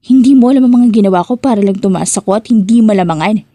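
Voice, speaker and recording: A woman's voice: mid-range voice, fast talker, mellow, no echo, natural voice. A woman voicing a jealous female villain in an audiobook drama, speaking with controlled, bitter resentment.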